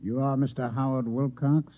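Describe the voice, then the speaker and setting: An actor playing a cold, controlling Martian agent posing as a reporter, speaking formally and with quiet menace in an old-time radio drama. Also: male voice, mid-range voice, fairly even intonation, normal speed, background noise, natural voice. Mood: probing, insinuating. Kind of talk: golden-age radio drama acting. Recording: vintage radio broadcast, narrow phone-like band.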